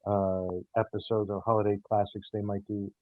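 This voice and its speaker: A man answering interview questions about a radio show, speaking in a halting way with repeated words. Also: fairly low pitch, little pitch movement, no echo. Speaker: audio theater director and producer, guest. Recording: muffled, phone-like, narrow-band line.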